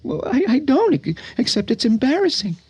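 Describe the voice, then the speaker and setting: An actor playing a grief-stricken, bitter young son in radio drama, with anguished, stammering outbursts. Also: male voice, very high-pitched, very animated, moderate pace, a little mellow, slightly rough, exaggerated voice. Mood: sullen, unsure. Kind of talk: old-time radio drama acting.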